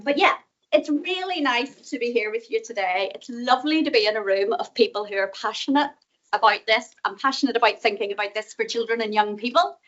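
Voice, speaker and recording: A middle-aged woman with a Northern Irish accent giving a conference talk, quick, chatty and self-deprecating. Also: fairly high pitch, fairly bright tone, lo-fi sound. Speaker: guest speaker, professor. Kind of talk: conference presentation. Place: conference room.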